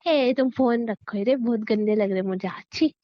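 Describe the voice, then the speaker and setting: A woman's voice: on the high side, moderate pace, dry recording, close to an everyday voice. A young woman playing a teasing, blunt, playfully stubborn girlfriend in a casual romantic phone-call skit, sassy and dismissive. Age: young adult. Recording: dry, slightly muffled phone-call sound.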